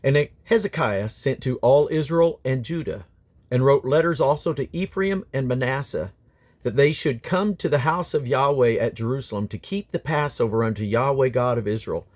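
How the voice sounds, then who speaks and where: A man's voice: mid-range voice, normal speed, darkish tone. A man reading scripture aloud as a Bible reader, in a solemn, declamatory manner.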